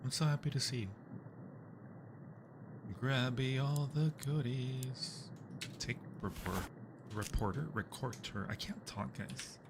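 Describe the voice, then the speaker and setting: Male streamer host: mid-pitched, casual, playful game commentary, loud background noise. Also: steady tone, slow pace, long pauses. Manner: playful, joking asides to viewers.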